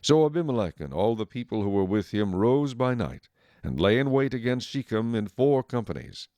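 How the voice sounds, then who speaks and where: A man's voice: mid-range voice, sing-song, moderate pace, dark tone, no echo. A man narrating a dramatized Bible as a scripted storyteller.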